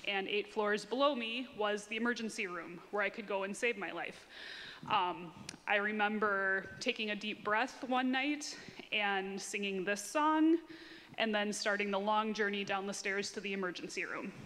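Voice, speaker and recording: A woman's voice: mid-range voice, normal speed, fairly bright tone, slight background noise. A woman in her thirties telling a personal story to a congregation in a candid, informal way. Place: church sanctuary, standing. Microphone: handheld microphone. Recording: reverberant church hall.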